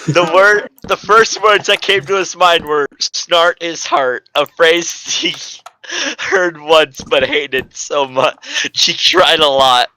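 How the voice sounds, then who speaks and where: A man's voice: fairly high pitch, takes it slowly, pushed and bright, rough recording. A man giving a comedic dramatic reading of fan fiction as narrator, stumbling and correcting himself.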